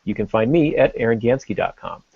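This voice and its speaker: A man's voice: mid-range voice, animated, brisk, creaky, husky, no echo. A man hosting a podcast in an informal, conversational way. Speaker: podcast host. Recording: dull lo-fi audio.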